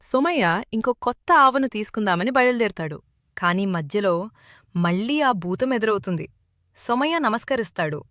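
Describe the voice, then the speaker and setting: A woman's voice: mid-range voice, lively intonation, moderate pace, fairly bright tone, no echo, studio quality. A woman narrating a children's moral tale as a scripted storyteller.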